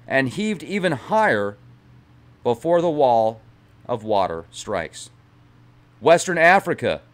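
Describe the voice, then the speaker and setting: A man's voice: mid-range voice, fairly bright tone. A man streaming as he reads a book aloud dramatically, breaking off now and then for chatty digressions to his viewers. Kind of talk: reading a book aloud with casual asides.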